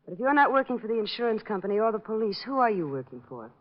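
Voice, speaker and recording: An actress playing a curt, guarded wife under questioning, in clipped, impatient 1940s radio detective style. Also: female voice, mid-range voice, sing-song, rapid-fire, close to an everyday voice. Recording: dull vintage radio recording.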